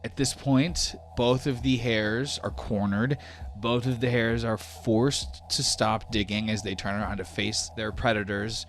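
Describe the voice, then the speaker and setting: Male game master, lower register, conversational improvised narration for a tabletop podcast, light music bed. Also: steady tone, measured pace. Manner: descriptive, casual, conversational.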